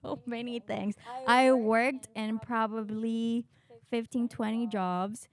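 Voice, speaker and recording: A woman from Chile telling personal stories casually as a podcast guest, in an animated, candid, self-mocking way. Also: fairly high pitch, slow pace. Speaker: podcast guest, doctor in training.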